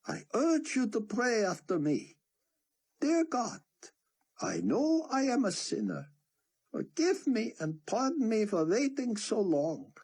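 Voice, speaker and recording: A man's voice: high voice, wildly swooping pitch, slow pace, husky, pitched above the performer's own voice, exaggerated voice. A man voicing a fervent male street preacher who proclaims and leads a prayer. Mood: exhorting. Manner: loud, preaching, sing-song. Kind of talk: audiobook character voice.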